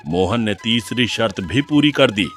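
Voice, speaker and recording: A man's voice: on the low side, lively intonation, unhurried, fairly bright tone, soft background music. An actor playing a solemn, authoritative king in a cartoon fairy tale. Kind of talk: scripted cartoon dialogue.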